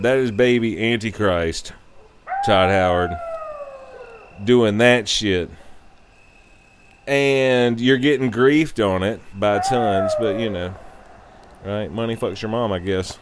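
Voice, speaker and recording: Man, thirties, blunt profane podcast host, unhurried, casual rant, faint noise. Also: lowish voice. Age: 30s. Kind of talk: casual opinionated gaming rant.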